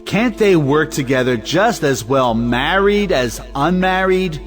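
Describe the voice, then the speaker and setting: An actor playing a hot-headed, conventional brother in an audio play, blustering and exclamatory. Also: male voice, on the high side, animated, a little slow. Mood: indignant, scornful. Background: music.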